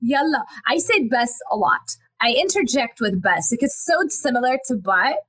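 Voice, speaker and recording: Woman, interview host, fairly high voice, chatty, dry recording. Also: expressive, a bit bright, slightly rough, dry recording. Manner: chatty, quick, trails off and restarts. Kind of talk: casual interview chat.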